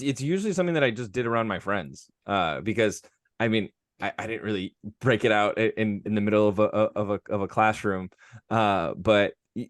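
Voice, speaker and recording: A young man, a guest on a podcast, speaking modestly and haltingly with many restarts. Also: fairly low pitch, dry recording, clean studio sound. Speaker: podcast guest, amateur voice impressionist. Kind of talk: casual podcast conversation.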